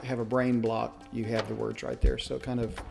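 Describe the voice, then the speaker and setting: A man's voice: mid-range voice, little pitch movement, brisk, vocal fry, music in the background. A man who acts in radio plays, talking casually in an interview. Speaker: radio play actor. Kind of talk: interview talk.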